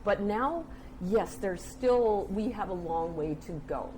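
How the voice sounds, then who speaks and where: An older woman, telling stories conversationally as an interview guest, with an animated manner. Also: medium pitch, slow, poor audio. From United States. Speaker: interview guest, communications specialist. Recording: clear background noise and room ambience.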